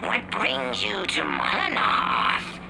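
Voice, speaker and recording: A calm alien storyteller in a video game, measured and mysterious. The low voice creaks as it unfolds solemn lore at a slow pace. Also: male voice, heavy background noise, exaggerated voice. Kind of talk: video game character narration.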